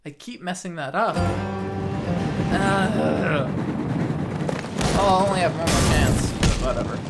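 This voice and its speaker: A woman's voice: low voice, slow. A woman giving casual, amused reaction commentary to a cartoon in short interjections. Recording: loud music behind.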